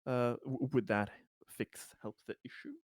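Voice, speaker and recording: A man voicing a polite, measured corporate executive in a tabletop sci-fi game, with halting phrasing. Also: mid-range voice, fairly even intonation, no room sound, clean studio sound, close to an everyday voice. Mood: businesslike, probing. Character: Conrad, smooth, polite corporate executive posing as surveyor.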